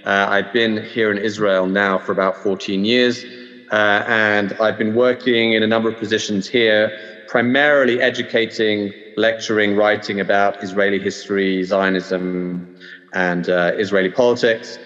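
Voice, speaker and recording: London-accented male podcast host, low-ish, hesitant delivery, muffled audio with a long room tail. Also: fairly even intonation, moderate pace, a bit bright. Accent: London English. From United Kingdom. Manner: hesitant, many ums and uhs. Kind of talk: casual podcast discussion. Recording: dull roomy remote audio.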